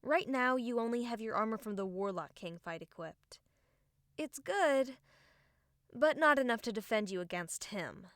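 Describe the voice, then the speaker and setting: A woman's voice: a little high, animated, normal speed, a bit bright, dry recording, studio quality. A woman playing a serious, strategic young female fighter in an audiobook, explaining things matter-of-factly. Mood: firm, instructive. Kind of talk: audiobook character acting.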